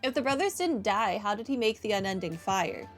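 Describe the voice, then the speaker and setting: A woman's voice: medium pitch, big pitch swings, brisk, over music. A young woman doing casual, informal gameplay commentary and reading game text aloud in a chatty way. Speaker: gaming playthrough streamer.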